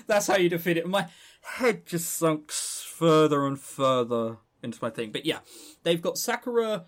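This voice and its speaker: A man with a southern English accent co-hosting a casual gaming news chat, animated, sardonic and sweary in manner. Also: a little high. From southern England. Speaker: podcast co-host.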